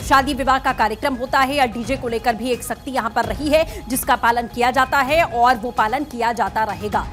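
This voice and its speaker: A woman's voice: fairly high pitch, fairly bright tone. A woman anchoring a television news bulletin in a broadcast news delivery. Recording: music bed behind the voice. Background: news music.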